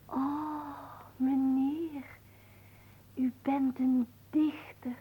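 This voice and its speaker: Naive, high-voiced young chambermaid. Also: female voice, little pitch movement, very slow, mellow, poor audio. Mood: enchanted. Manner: hesitant, breathless, plaintive. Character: naive young chambermaid, coy, flustered and easily charmed. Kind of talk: Dutch radio play acting.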